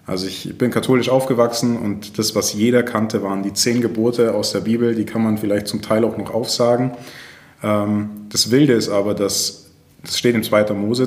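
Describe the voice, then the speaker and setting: A man, a pastor and church co-founder, chatting casually on a podcast, relaxed and laughing often, thinking aloud. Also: fairly low pitch. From Germany. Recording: roomy echo.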